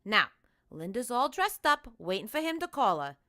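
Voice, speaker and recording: A woman's voice: a little high, big pitch swings, moderate pace, bright, forceful tone, dry recording, clean studio sound. A woman playing an exasperated housewife and mother in a 1950s-style radio sitcom, fretful and scolding. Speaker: radio play actor.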